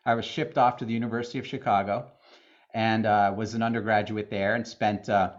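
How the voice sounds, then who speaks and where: A middle-aged man from the Boston area, chatting casually as a guest with dry, deadpan humor. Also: lowish voice, brisk, dull audio. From Boston area, USA. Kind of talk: casual interview chat.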